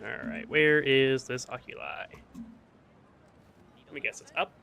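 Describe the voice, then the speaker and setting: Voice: medium pitch, fairly even intonation, a little slow, pushed and bright, a little hiss or hum. A game streamer giving casual, joking commentary in a playful, quippy way. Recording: game music behind.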